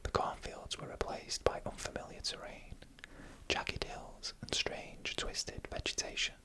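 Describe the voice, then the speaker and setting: A narrator reading a scary story in a soft, unvoiced ASMR whisper, slowly and deliberately in short phrases with pauses.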